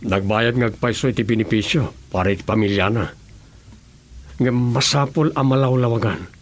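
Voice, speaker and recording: A man acting a principled, firm governor in an Ilocano radio drama, measured and authoritative, with an Ilocano accent. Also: medium pitch, natural voice. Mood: resolute.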